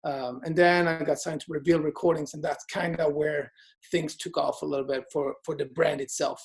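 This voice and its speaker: Laid-back Swedish man with a gravelly voice. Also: a little high, moderate pace. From Sweden. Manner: relaxed, many fillers and restarts. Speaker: guest DJ and producer. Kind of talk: casual interview chat.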